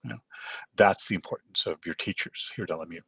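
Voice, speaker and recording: A middle-aged man from Pakistan who grew up in Canada, with Urdu as his first language, giving a Friday sermon in a measured way, often pausing on "uh". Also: deep voice, gravelly, a bit bright, husky, dry recording, rough recording. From Pakistan, raised in Canada. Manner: measured, with frequent filler uhs and restarts. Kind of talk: sermon with Quran recitation.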